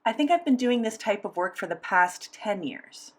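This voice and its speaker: A woman voicing a sign language interview as interpreter, speaking in a measured, steady first-person delivery. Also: medium pitch.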